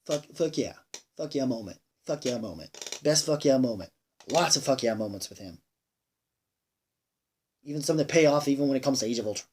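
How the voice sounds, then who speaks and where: A man giving a casual, unscripted movie review, rambling and halting, repeating himself emphatically and sounding a bit tired. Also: medium pitch, animated, darkish tone, slightly rough. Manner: sweary, emphatic. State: tired, sighing.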